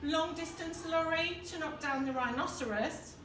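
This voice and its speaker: A woman reading a children's picture book aloud like a schoolteacher, with expressive, deliberate dramatic emphasis. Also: extremely high pitch. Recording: echoey, distant mic, noticeable background noise.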